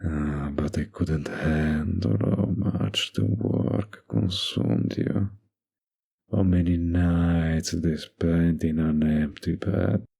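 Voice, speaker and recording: A man playing a smug, dominant mafia boss in an intimate ASMR roleplay, with a gravelly, husky voice and a suggestive, deliberate drawl. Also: very deep voice, fairly even intonation, dark tone, full character voice. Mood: provocative, flirty. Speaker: roleplay voice actor.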